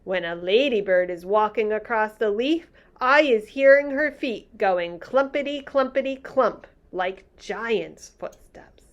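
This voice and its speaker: A woman's voice: medium pitch, lively intonation, measured pace, few pauses, lo-fi sound, lightly acted. A woman voicing a big friendly old male giant who talks earnestly in muddled grammar. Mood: marvelling.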